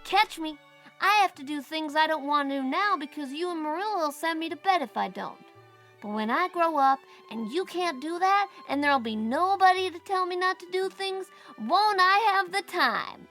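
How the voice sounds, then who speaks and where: A woman acts out a stubborn little storybook boy with a very high voice. He is blunt and insistent, full of questions, and talks in childish grammar over faint music. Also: expressive, moderate pace, fairly bright tone, heavily acted. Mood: stubborn protest. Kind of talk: audiobook character acting.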